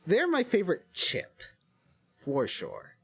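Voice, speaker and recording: Playful husky-voiced man, lively pitch. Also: takes it slowly. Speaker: podcast co-host. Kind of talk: casual joking chat.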